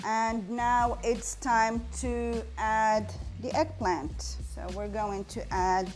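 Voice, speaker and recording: A woman's voice: on the high side, steady tone, slow, darkish tone, faint music behind. A woman in her forties from Morocco, hosting a cooking show and explaining each step as she cooks in a home kitchen on a lapel mic. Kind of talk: cooking demonstration.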